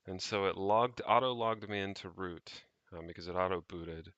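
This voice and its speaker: A man's voice: low-pitched, normal speed, a bit bright, dry recording, muffled recording. A man chatting off the cuff on a livestream, rambling and thinking aloud with casual swearing as he tinkers. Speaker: streamer, tech hobbyist. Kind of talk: casual unscripted livestream chat while tinkering. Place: indoors, rented home.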